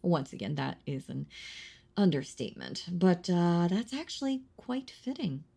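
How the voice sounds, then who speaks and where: An ancient female vampire, played by a woman, speaks in a slow, husky murmur with a creaky edge. She is possessive and condescending, teasing the listener with quiet menace in an intimate audio roleplay, often slipping into whispers. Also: fairly low pitch, big pitch swings, heavily acted. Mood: amused teasing.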